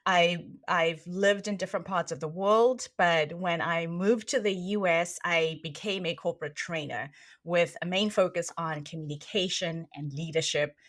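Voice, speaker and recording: A woman's voice: fairly low pitch, moderate pace. A middle-aged woman from South Africa with a South African accent, a trainer and coach chatting animatedly as a podcast guest. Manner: animated, laughs easily, repeats words when starting. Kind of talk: conversational interview.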